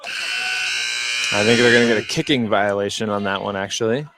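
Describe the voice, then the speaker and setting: A man giving conversational live color commentary on basketball, halting and repeating words as he forms his points. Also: medium pitch, little pitch movement, very noisy. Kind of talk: live conversational sports analysis. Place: indoor basketball gym.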